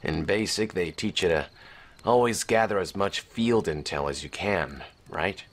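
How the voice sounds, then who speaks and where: A low male voice as a young special-forces sergeant in a radio drama. He is cocky and casual, with a wry wisecracking way of talking over faint background noise. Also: measured pace. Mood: casual, curious. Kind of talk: audio drama acting.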